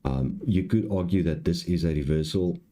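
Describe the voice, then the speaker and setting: A man with an extremely deep voice teaches in a live tutorial. His tone is dark and relaxed, with a raspy, hoarse edge. He explains haltingly, going back over points as he goes. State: hoarse, clearing throat. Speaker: trading live-stream host and instructor.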